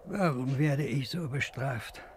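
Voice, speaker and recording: A man's voice: mid-range voice, takes it slowly, slight background noise, lightly acted. An actor playing a frightened, exhausted old man who broods plaintively in a 1950s German radio drama. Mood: plaintive, weary. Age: older. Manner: plaintive, brooding, repeating himself.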